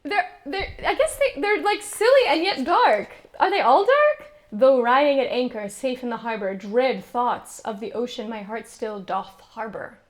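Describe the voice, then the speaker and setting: High-voiced woman chatting slowly. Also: big pitch swings. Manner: chatty, self-mocking, laughs often. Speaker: singer streaming vocal practice. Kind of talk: casual chat while practicing singing.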